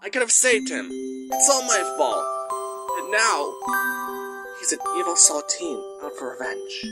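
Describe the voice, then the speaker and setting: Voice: mid-range voice, big pitch swings, unhurried. An actor voicing a serious adult male alligator spy detective in a cartoon fandub, with music behind. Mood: guilt-ridden.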